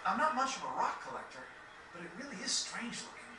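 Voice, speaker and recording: A woman voicing a brisk, no-nonsense youngster in a video game cutscene. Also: mid-range voice, sing-song, lightly acted. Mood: curious, musing. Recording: very echoey, distant, noisy game capture.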